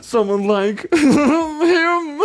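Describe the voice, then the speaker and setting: Frantic sneaky killer, squeaky high and whimpery, hammy as hell, pitch all over. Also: expressive, full character voice. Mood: sly gloating. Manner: hammy, drawn-out, breaking into cackles. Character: scheming cowardly killer, frantic, gloating and self-pitying.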